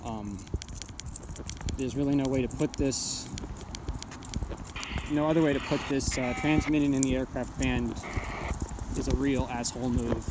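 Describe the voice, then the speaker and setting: A man's voice: medium pitch, fairly even intonation, unhurried, long pauses, background noise. A man talking casually and off the cuff as he demonstrates radio gear, rambling but blunt and matter-of-fact. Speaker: amateur radio hobbyist reviewing gear. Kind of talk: casual unscripted product demonstration.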